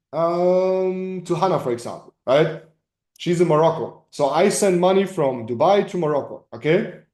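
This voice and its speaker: A man's voice: fairly high pitch, darkish tone. A man with a German accent coaching informally on a group call, explaining emphatically and repeating himself with frequent check-ins. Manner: emphatic, repetitive, checks in with "right?" and "okay?". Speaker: crypto trading coach hosting a community call. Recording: roomy, echoing sound.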